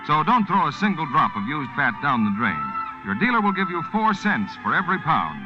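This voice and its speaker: Lively male 1940s radio announcer. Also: on the high side, a little fast. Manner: emphatic salesman delivery. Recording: dull vintage radio broadcast with music.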